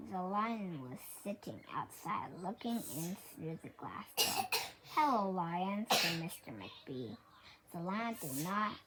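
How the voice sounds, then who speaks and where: A woman reading a children's book aloud in a halting, deliberate way. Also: lowish voice, vocal fry, background noise. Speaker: storybook reader.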